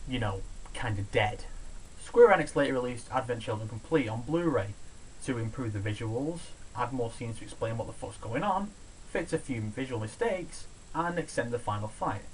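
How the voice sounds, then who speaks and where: A man's voice: mid-range voice, slight background noise, low-quality recording. A man hosting a scripted film countdown review, talking in a casual, opinionated, sweary way. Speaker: host of a video review show. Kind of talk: scripted countdown commentary on films.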